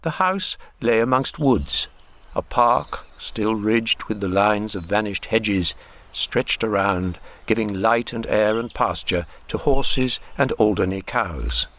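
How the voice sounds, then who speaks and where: A man narrating a literary radio drama in a measured, wry way. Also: fairly low pitch, a bit bright, a little hiss or hum. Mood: descriptive.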